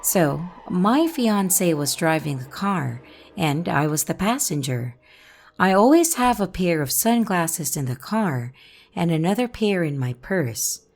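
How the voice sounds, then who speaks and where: Woman reading spooky stories real slow, voice going up and down a lot, lowish voice. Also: sing-song. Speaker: channel host and narrator. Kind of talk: first-person story narration.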